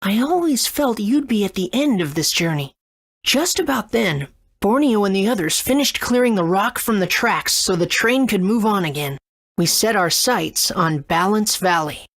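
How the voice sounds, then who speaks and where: A woman's voice: medium pitch, expressive, normal speed. A woman voicing an earnest, eager young boy hero in a video game. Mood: reflective. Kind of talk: video game character acting and narration.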